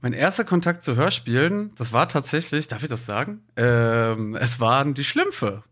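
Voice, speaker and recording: A man's voice: medium pitch, very animated, normal speed, a bit bright. A man from Berlin chatting casually and sharing recollections, often interrupting his own train of thought. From Berlin, Germany. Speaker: podcast panellist. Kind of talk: casual chat, personal recollection.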